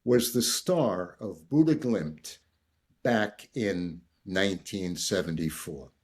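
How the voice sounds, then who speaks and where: An older American man telling a long anecdote in conversation, lively and husky, often checking in with "right?". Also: low-pitched, takes it slowly. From United States. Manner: animated raconteur, repeats "right?", acts out quoted voices. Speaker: guest. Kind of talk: storytelling in conversation.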